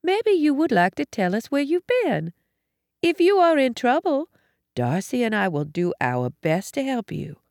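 A woman voicing a calm, kindly older mother with a quiet, gentle, soothing manner in an audiobook story. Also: fairly low pitch, a little slow, creaky, dry recording, clean studio sound, full character voice.

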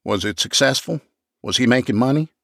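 A man's voice: medium pitch, fairly quick, gravelly, bright, forceful tone, dry recording, studio quality, pitched above the performer's own voice. A man voicing a blunt, firm police investigator asking curt questions.

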